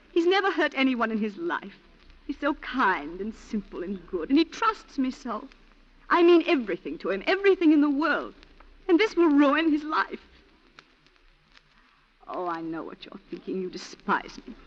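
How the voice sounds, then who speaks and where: An actress in a 1940s radio drama playing an accused wife who is weary, pleading and manipulative. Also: female voice, sits high, very animated, moderate pace. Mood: pleading, bitter. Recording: muffled 1940s radio broadcast recording.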